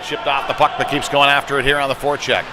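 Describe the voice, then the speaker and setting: A man's voice: mid-range voice, flat delivery, fast. A man calling a youth-league style hockey game live, as a play-by-play commentator following the puck. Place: indoor ice rink during a game. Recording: noisy arena, clatter and hum behind.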